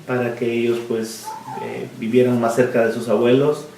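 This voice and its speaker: A man in his forties, born in Guatemala and raised in Miami, telling his life story in a relaxed interview, pausing often to search for words and slipping in English words. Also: mid-range voice. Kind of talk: oral history interview. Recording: roomy, mic at a distance, faint noise.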